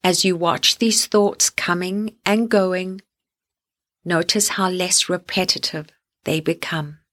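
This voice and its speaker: A woman hosting a guided sleep meditation, speaking gently and soothingly in deliberate phrases with long pauses. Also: lowish voice, steady tone.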